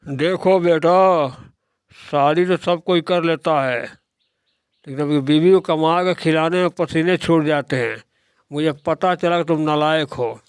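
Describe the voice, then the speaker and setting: A man's voice: a little high, measured pace, smooth, no echo, studio quality. A man voicing a stern, worldly-wise older father in a moral-story cartoon, lecturing and admonishing.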